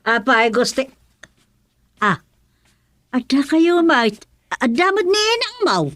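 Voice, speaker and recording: A woman's voice: high voice, big pitch swings, slow pace, fairly bright tone, no echo, exaggerated voice. A woman with an Ilocano accent playing a scolding, down-to-earth village woman in a radio comedy drama. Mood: surprised. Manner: chiding, exclamatory.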